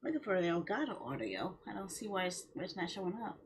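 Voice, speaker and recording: A woman's voice: fairly low pitch, sing-song, quick pace, a little hiss or hum. A woman game streamer talking casually to her viewers in a rambling, conversational way. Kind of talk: casual livestream chat.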